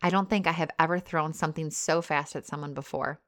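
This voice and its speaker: A woman with a Wisconsin accent chats casually as a podcast co-host. Her voice sits a little low. She slips into reading listener stories aloud. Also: fairly even intonation, a little fast, a bit bright. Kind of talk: casual chat.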